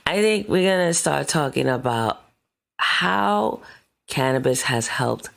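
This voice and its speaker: A woman with a very deep, gravelly voice co-hosts a casual podcast. She talks in a playful, upbeat way. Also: animated, slow, a bit bright. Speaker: podcast co-host.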